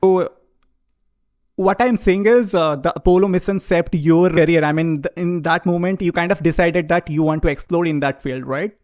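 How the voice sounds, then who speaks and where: Polite, formal podcast host, dry sound. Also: male voice, on the high side, moderate pace, a little mellow, dry recording. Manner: formal, polite, addresses guest as sir. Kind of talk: scripted intro then interview questions.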